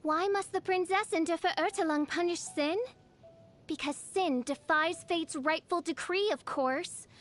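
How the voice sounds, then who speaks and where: A woman's voice: very high-pitched, measured pace, fairly bright tone, faint music behind. A woman voicing a grandiose, haughty teenage princess in a video game, speaking in a pompous, archaic, theatrical way. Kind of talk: scripted video game character voice lines.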